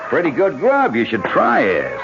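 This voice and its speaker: A man's voice: medium pitch, huge pitch swings, normal speed, over music. A man playing a tough, practical American ex-agent, slangy and commanding, in a 1970s radio mystery drama. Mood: feigned casualness. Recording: dull lo-fi 1970s radio broadcast.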